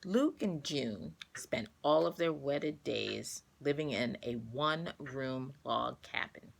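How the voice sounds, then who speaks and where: Lively storybook woman with a creaky edge. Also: a bass voice, slow, a little hiss or hum. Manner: expressive, clearly paced storytelling for young listeners. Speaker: children's story reader. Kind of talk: read-aloud children's storybook narration.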